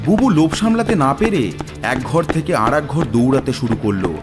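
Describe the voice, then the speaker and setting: A man's voice: mid-range voice, big pitch swings, moderate pace. A man narrating a scripted cartoon horror tale as a dramatic storyteller. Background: background music.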